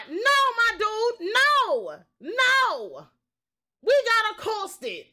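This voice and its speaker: A young woman telling a story casually on a livestream, expressive, slangy and sweary. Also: very high-pitched. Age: young adult. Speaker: game streamer.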